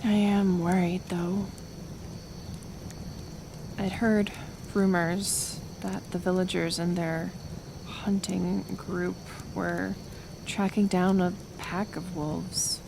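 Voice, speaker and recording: A woman plays a caring forest healer in an intimate ASMR roleplay drama. She talks slowly and hesitantly, with a creaky edge to her gentle voice, and stays stubbornly kind. Clear ambient noise sits behind her. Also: lowish voice, level tone. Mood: worried. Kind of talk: soft-spoken ASMR roleplay acting.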